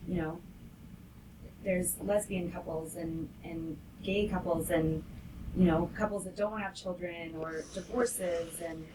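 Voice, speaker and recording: A woman's voice: lowish voice, steady tone, slow pace. A woman speaking casually as a panelist in a podcast discussion, recorded with noise around her.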